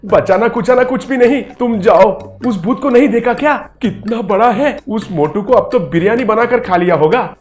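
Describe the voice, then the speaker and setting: A man voicing an excitable, grumbling, easily scared poor ragpicker in an animated story, exclaiming as he talks. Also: extremely high pitch, voice pushed up. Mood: alarmed. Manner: exclaiming, complaining. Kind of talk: Hindi animated children's story dialogue.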